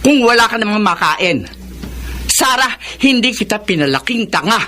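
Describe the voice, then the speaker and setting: A woman's voice: medium pitch, expressive, moderate pace, sharp tone. A woman acting as a stern, domineering middle-aged Filipino mother in a radio drama, with a haughty, scolding and volatile delivery. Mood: insistent, scolding. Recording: faint background noise.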